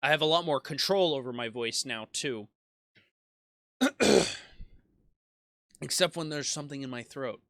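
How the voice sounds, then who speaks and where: A man's voice: mid-range voice, a little slow, long pauses, sharp tone, no room sound, clean studio sound. A man in his twenties, a YouTube gaming creator, reflecting in a casual monologue that rambles with frequent restarts and self-deprecating asides. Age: 20s.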